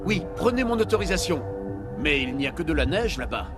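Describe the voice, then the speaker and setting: Casting: plain-spoken game medic, fairly high voice, earnest, music behind. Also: male voice, very animated, brisk. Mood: worried, helpful. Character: earnest, grateful male doctor in a research lab. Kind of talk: dubbed video game dialogue.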